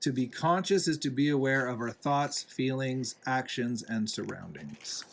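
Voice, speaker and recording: A man, a father, reading a picture book aloud to a young child in a deliberate, gently explaining, instructive way. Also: medium pitch, expressive, gravelly. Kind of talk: read-aloud storybook narration with asides to a young child.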